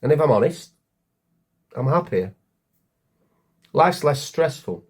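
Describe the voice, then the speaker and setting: An actor playing a weary, bitter, divorced former Lord Mayor in a wry, confiding comic monologue. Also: male voice, a little high, expressive, slow, breathy edge, exaggerated voice. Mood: resigned, reflective.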